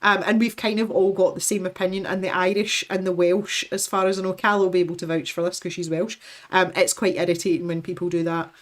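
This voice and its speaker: A Scottish woman chatting casually as a livestream host, opinionated and given to lively storytelling. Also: on the low side, quick pace. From west coast of Scotland. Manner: chatty, opinionated, animated storytelling with acted-out quotes. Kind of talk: casual chat with live viewers.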